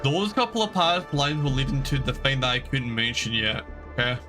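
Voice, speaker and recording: A man's voice: mid-range voice, moderate pace, over music. A man giving casual live commentary as a game streamer.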